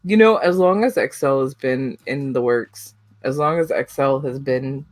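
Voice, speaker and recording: A woman's voice: extremely low pitch, moderate pace, no echo. A young woman co-hosting a podcast, chatting casually, rambling with lots of fillers and giggles. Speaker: podcast co-host. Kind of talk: casual chat.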